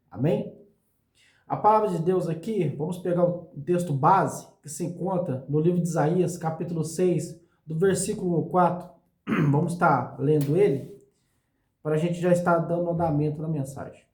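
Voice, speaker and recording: A male pastor preaching a sermon for his online channel, hoarse from a cold, in an earnest and unhurried way. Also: fairly high pitch, darkish tone, reverberant. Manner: earnest, slow preaching with frequent "meus irmãos".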